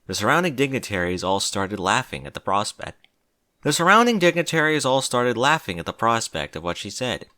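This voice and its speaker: A man's voice: mid-range voice, big pitch swings, brisk, sharp tone, no echo, studio quality. A man narrating a scripted fantasy story. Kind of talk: scripted fan fiction narration.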